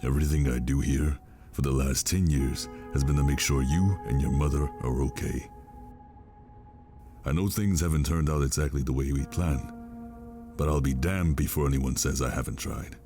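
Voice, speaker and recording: Weary protective dad played by a man, extremely low voice, firm and grave, dramatic scene with music underneath. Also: fairly even intonation, normal speed. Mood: earnest. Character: weary protective father fleeing with his child. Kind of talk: dramatic film-style acting. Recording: music behind the voice.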